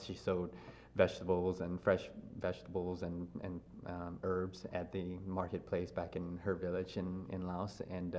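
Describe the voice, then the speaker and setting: Deep-voiced man telling his family story. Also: slight background noise. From grew up in Louisiana, USA. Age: 30s. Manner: relaxed, thoughtful, many ums and restarts. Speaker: interview guest. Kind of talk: casual interview.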